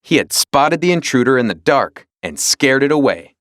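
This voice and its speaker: Male storybook narrator for young readers: animated, dramatic, mid-pitched, dry studio-style sound. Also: normal speed, no echo. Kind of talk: scripted children's read-along narration.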